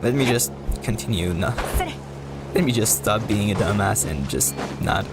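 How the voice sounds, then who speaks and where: A man giving casual, halting and rambling live commentary as a video game streamer, often repeating words. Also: lowish voice, loud music behind the voice.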